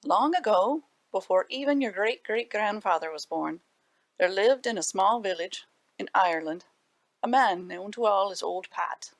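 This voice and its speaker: A woman reading aloud to children as a library storyteller, animated and dramatic with deliberate phrasing. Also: mid-range voice, dry recording.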